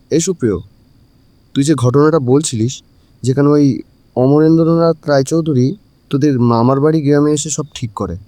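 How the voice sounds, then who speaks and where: A man's voice: mid-range voice, warm tone, dry recording, lightly acted. A man playing a practical, insistent young man in an audio drama, talking in an urgent conversational way. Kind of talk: Bengali audio story acting.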